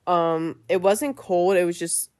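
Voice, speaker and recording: A woman's voice: fairly low pitch, fairly even intonation, slow, darkish tone. A young woman hosting her own podcast in a chatty, casual way with many fillers, her voice stuffy and nasal from a cold. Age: young adult. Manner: chatty, lots of fillers and likes. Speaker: podcast host. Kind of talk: casual chat.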